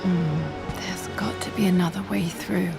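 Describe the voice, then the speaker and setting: An actress playing a pensive young British heiress and explorer, musing aloud in a video game. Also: female voice, fairly low pitch, fairly even intonation, moderate pace, vocal fry. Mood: focused, musing. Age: young adult. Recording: music behind the voice.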